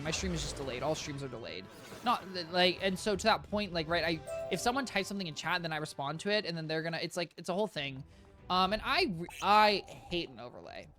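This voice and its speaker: A game streamer with a high, squeaky voice chatting casually on a live stream, rambling and correcting themselves often while talking to chat. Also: pushed and bright. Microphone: desk mic with compressor.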